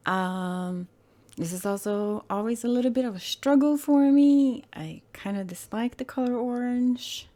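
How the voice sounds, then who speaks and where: A woman hosting her gaming channel in casual, rambling commentary, her throat hoarse and croaky today, often hesitating and correcting herself. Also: a little high, measured pace, mellow.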